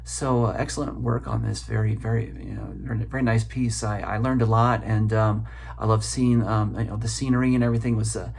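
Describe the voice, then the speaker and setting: Gravelly low-voiced man giving casual feedback. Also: fairly even intonation, darkish tone. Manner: halting, searching for words. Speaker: film festival audience member giving feedback. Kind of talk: casual spoken film feedback.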